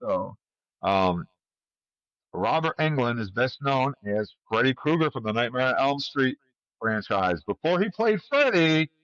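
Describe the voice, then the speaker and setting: A man's voice: mid-range voice, very animated, measured pace, a bit bright, dry recording. Guy hosting a casual trivia podcast, dry close sound, bit muffled lo-fi mic. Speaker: podcast host running a trivia quiz. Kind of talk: casual chat and quiz hosting.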